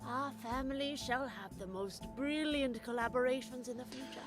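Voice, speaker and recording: A woman playing a snobbish high-society matron. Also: normal speed, music in the background, close to an everyday voice. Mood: haughty. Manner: condescending, snobbish. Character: haughty rich society matron, council member.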